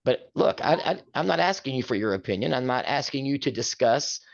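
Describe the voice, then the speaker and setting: A man's voice: mid-range voice, expressive, a little fast, creaky, dull audio. Older man with a big, carrying voice. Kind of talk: casual chat.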